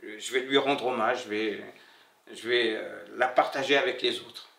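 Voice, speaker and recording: A middle-aged man from Morocco who learned French later in life, telling his own story reflectively and haltingly, searching for his words. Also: medium pitch, fairly even intonation, gravelly, pushed and bright. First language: not French, learned it after moving to France. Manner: halting, searching for words with many pauses and repetitions. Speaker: interviewee, bookseller. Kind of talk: reflective personal storytelling in an interview. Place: indoor room with bare wall, seated. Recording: roomy echo, slightly distant mic.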